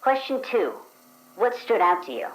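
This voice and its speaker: A man's voice: sits high, very animated, moderate pace, echoey room, voice pushed up, poor audio. A man putting on the voice of an automated questionnaire that prompts in a mechanical way.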